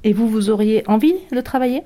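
A woman's voice: on the high side, fairly quick, dark tone. A woman interviewing French learners, asking simple questions patiently and encouragingly.

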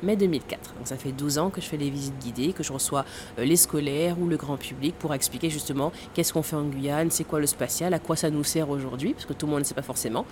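A woman's voice: sits low, quick pace, background noise. A woman in her thirties from the Caribbean who works as a tour guide, explaining with frequent fillers and occasional laughs. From born in Guadeloupe, raised in French Guiana. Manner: explanatory, hesitant with many fillers, laughs now and then. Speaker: tour guide at a space center. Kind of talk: interview answers.

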